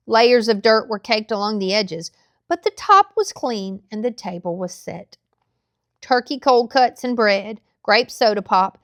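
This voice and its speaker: A woman narrating a children's novel aloud in a measured, expressive storytelling style. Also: a little high, dry recording, clean studio sound.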